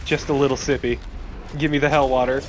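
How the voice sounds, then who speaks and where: A man's voice: medium pitch, flat delivery, brisk, slight background noise. A man co-commentating on a video game in a casual, wry, joking way. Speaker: let's play co-commentator. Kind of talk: casual gameplay commentary chat. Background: video game music.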